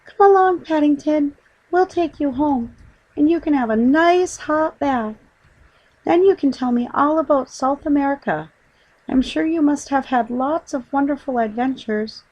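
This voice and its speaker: A woman voicing an excited, kindly young girl in a storybook, eager and bubbly. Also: high-pitched, unhurried, dull audio, voice pushed up.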